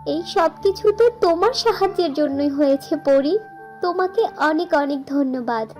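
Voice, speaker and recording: A woman's voice: sits high, animated, normal speed, a little mellow, faint music behind, pitched above the performer's own voice. A woman voicing a grateful, kind young woman in a cartoon fairy tale, earnest and emotional. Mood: grateful, tender.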